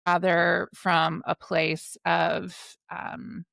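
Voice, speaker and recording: A woman in her forties from Canada, hosting a podcast with enthusiastic, animated storytelling. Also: fairly low pitch, very slow, vocal fry, no room sound, studio quality. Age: 40s. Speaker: podcast host. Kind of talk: conversational interview.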